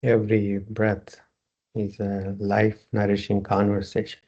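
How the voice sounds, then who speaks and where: A yoga teacher with a low, husky, gravelly voice leads a guided relaxation. He speaks slowly and calmly in brief phrases, leaving the instructions simple. The man's recording is slightly dull and lo-fi. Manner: slow, calm, with spare short phrases.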